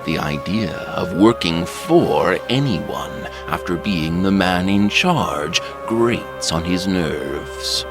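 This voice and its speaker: Loud music plays under a low-voiced male narrator. He speaks very slowly with animated, theatrical intonation, like a host introducing ominous, strange tales. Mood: wry, ominous. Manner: deliberate, theatrical. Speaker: anthology narrator. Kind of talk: scripted dramatic narration.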